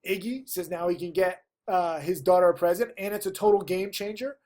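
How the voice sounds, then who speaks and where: A stuffy man who is losing his voice, talking in a dark, mellow tone. He teaches emphatically and presses his listeners again and again to put in the work. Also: high-pitched, brisk. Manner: emphatic, pleading. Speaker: trading teacher. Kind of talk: direct-to-camera lesson.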